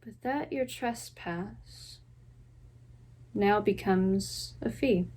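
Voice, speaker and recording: A woman giving a dramatic recitation of classical verse, deliberate and full of long pauses. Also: medium pitch, darkish tone, rough recording. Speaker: student drama performer.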